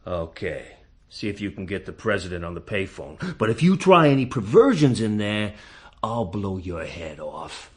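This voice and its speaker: A dim, abusive American army colonel in a radio comedy, over clear background noise. The voice is lowish and lively, gruff and full of suspicion. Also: male voice, normal speed. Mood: threatening. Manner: gruff, taunting, slangy. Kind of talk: comic radio play acting.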